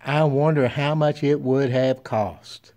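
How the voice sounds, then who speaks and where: Hushed, grateful mother, as a man voices her. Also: mid-range voice, steady tone, slow pace, close to an everyday voice.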